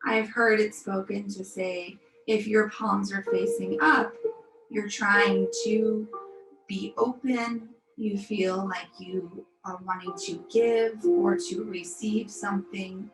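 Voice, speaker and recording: Slow-paced woman guiding a meditation. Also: medium pitch, steady tone. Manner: soothing, unhurried, gently instructive. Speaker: yoga and meditation teacher. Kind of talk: guided meditation instruction. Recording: roomy echo, music behind. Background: music.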